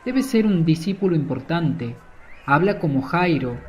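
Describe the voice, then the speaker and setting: A man's voice: on the high side, lively intonation, unhurried, a little mellow, slight background noise. An actor playing a helpful local man who gives directions in a plain, informative way in a radio drama.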